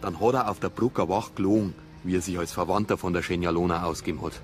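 A male actor plays a persistent Bavarian detective in a radio crime play. His low voice is dry and colloquial, with a Bavarian accent and quiet music underneath. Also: steady tone, fast, close to an everyday voice. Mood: reasoning. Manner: dry, colloquial, matter-of-fact.

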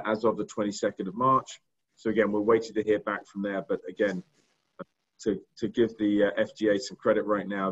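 A middle-aged man with a non-American accent, presenting slides on a video call and speaking haltingly, with many fillers and repeated words. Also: fairly low pitch. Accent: non-American English. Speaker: diagnostics company executive. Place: indoor room. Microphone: video call webcam. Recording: dull lo-fi video call audio.

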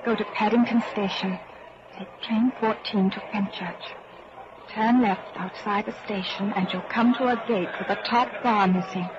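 An actress in a 1940s radio drama playing a bold, rebellious woman in her twenties, brisk, blunt and secretly amorous. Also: medium pitch, steady tone, close to an everyday voice. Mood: brisk, secretive. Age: 20s. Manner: brisk, blunt, matter-of-fact. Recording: muffled, noisy 1940s radio broadcast recording.

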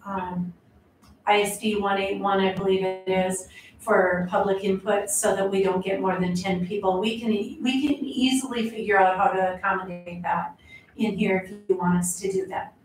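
Flat-voiced woman in an echoey boardroom. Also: mid-range voice, soft background music. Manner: measured, explaining plans. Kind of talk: meeting discussion. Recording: echoey, distant room audio.

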